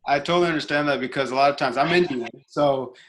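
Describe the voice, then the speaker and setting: A man's voice: mid-range voice, fast, low-quality recording. A man chatting casually as an interviewer, a little hesitant as he searches for words. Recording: faint background noise.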